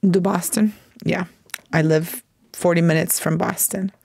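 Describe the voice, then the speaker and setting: A woman's voice: fairly low pitch, fairly even intonation, creaky, darkish tone, breathy edge, dry recording, studio quality. American woman teaching English on a call. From United States. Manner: switches between English and halting Portuguese, explains phrases slowly. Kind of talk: live video-call lesson. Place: home room, seated at desk. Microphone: desk microphone on video call.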